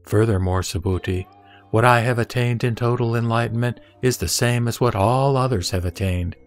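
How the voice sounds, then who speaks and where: Man playing a measured, solemn Buddha teacher. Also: mid-range voice, close to an everyday voice. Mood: calm, instructive. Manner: measured, solemn, didactic delivery. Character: the Buddha, serene male teacher instructing a disciple. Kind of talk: audio drama scripture reading. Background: background music.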